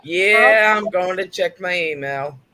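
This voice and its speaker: A man's voice: high-pitched, takes it slowly, over a noisy crowd. A man co-hosting a casual chat, earnest and folksy. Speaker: co-host.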